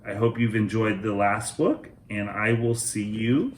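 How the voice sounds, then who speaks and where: A man hosting a children's read-aloud, narrating a storybook in a deliberate, expressive way. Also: lowish voice, roomy sound.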